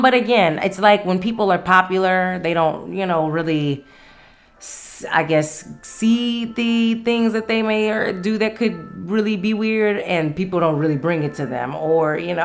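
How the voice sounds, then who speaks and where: An American woman hosting a solo podcast, speaking in a blunt, emphatic, slangy way. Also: fairly low pitch. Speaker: podcast host. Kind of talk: casual solo podcast monologue.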